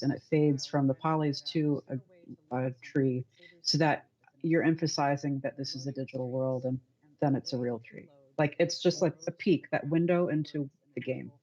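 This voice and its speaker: A man's voice: medium pitch, steady tone, normal speed, a little mellow, dull audio. A man asking a question in a casual chat, hesitant and searching for words.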